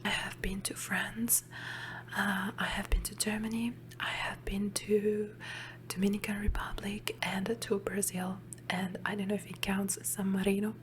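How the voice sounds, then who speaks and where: A young woman with an Italian accent, whispering softly and chattily into a close microphone for an ASMR Q&A. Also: medium pitch, flat delivery, unhurried, slight background noise. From Emilia-Romagna, Italy. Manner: soft unvoiced whisper, chatty with fillers. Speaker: ASMR channel host. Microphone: large studio mic close in front.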